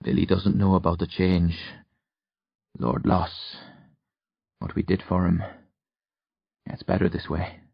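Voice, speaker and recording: A man's voice: low voice, flat delivery, takes it slowly, gravelly, long pauses, husky, no room sound, heavily acted. A troubled, exhausted man as a male audiobook narrator plays him, brooding and earnest. The recording is dry and slightly muffled. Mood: thoughtful, guarded. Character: weary, troubled man shaken after a nightmare.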